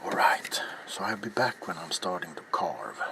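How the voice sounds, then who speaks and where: A middle-aged man narrating a craft in soft ASMR style, hushed and unhurried, often slipping into a whisper. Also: low voice, sing-song, creaky. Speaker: ASMR crafting video host. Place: small cluttered indoor workshop. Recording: loud background noise, handling clicks.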